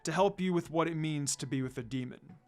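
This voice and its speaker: A man voicing a calm, kindly dog demon spirit, a father speaking gently and solemnly in a fan fiction reading. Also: on the high side, brisk, soft background music, natural voice. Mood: solemn, reassuring.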